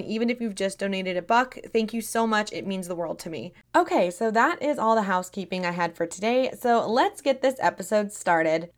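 A woman hosting a podcast, her voice a little raspy and tired, talking in a friendly, chatty and earnest way. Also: medium pitch. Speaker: podcast host. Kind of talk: scripted podcast narration.

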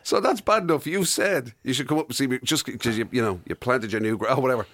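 A man's voice: mid-range voice, fast, a bit bright, no room sound. A middle-aged Irish man co-hosting a radio show, telling rambling observational stories as casual comic banter.

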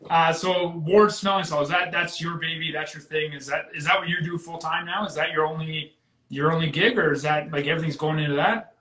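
A man hosts a casual podcast chat in a fairly high voice, upbeat and quick with his questions. His voice carries a roomy echo. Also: fairly even intonation, pushed and bright. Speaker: podcast host.

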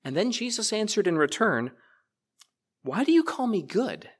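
A man's voice: high voice, big pitch swings, normal speed, husky. Conversational male pastor preaching. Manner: conversational, addresses congregation directly. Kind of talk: sermon. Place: church.

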